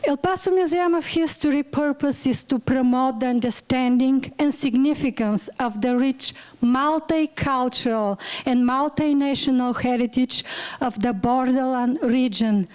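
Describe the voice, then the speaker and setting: A woman's voice: sits high, a little slow, a little mellow, clear, resonant tone. A woman from Bulgaria with a Bulgarian accent, a museum director giving an earnest symposium talk and sometimes searching for words. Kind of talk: symposium presentation.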